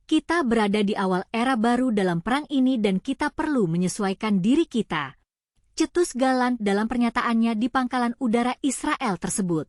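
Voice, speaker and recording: A woman's voice: on the high side, no echo, clean studio sound. A woman narrating scripted news reports as a news narrator.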